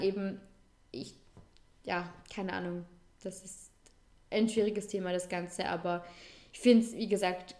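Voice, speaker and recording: A woman's voice: medium pitch, darkish tone. A young adult woman with an Austrian accent, co-hosting a podcast in casual chat, talking freely with many fillers and restarts. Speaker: podcast co-host.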